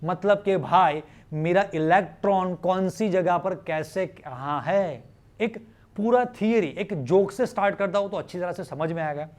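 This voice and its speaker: A man's voice: high-pitched, a little slow, darkish tone. A man with an Indian accent teaches students informally, conversationally explaining with questions and repeated phrases and mixing in English. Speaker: chemistry teacher.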